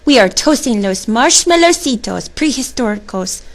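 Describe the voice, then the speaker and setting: A woman voicing small, cheeky, excitable male Chihuahuas from a storybook in a hollering, exclamatory manner. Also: fairly high pitch, moderate pace. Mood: rowdy, excited.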